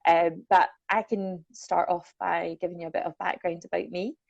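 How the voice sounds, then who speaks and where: A woman's voice: fairly low pitch, little pitch movement, normal speed, vocal fry, darkish tone, dry recording. A young Scottish woman from the Borders, a welfare manager chatting casually and self-deprecatingly with lots of ums. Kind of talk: casual chat. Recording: dull lo-fi sound.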